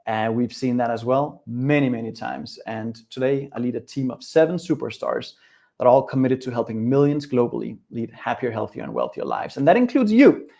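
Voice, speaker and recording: A middle-aged man from Denmark with a Danish accent leads a live webinar as a coach. He speaks upbeat and direct, talking straight to his viewers and their chat, with a voice of ordinary pitch for a man. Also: sing-song, moderate pace, creaky, mellow.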